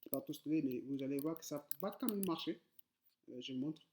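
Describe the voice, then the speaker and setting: A man's voice: fairly high pitch, a little fast, warm tone, slight background noise. A man who is not a native French speaker, teaching coding in a casual tutorial, hesitant, with many fillers and repeated words, speaking directly to viewers. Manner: addresses viewers directly, informal.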